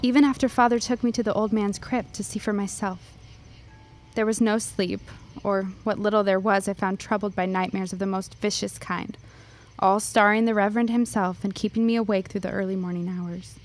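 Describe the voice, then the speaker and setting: A woman plays a serious schoolgirl in a period audio drama, guarded and fearful. Her delivery is formal and reserved, at a medium pitch, with crickets chirping behind. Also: fairly even intonation, normal speed, natural voice. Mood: haunted, fearful. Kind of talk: period radio drama acting.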